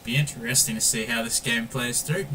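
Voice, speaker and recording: A man's voice: mid-range voice, steady tone, fairly quick, sharp tone, slight background noise. An Australian man streaming a game, chatting casually and calling everyone mate while he reads viewer messages aloud. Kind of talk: casual gameplay stream chat.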